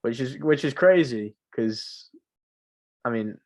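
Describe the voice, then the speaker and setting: Male, seventeen, mid-pitched voice. Informal podcast co-host, slangy and jokey, chatting casually. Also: animated, slow. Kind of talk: casual chat about video games.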